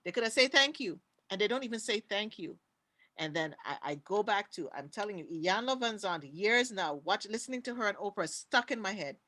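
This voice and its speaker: Bright, emphatic Trinidadian woman. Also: mid-range voice, expressive, a little fast. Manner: emphatic, preachy, repeats key words. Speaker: conference panelist. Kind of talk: impassioned talk on a panel.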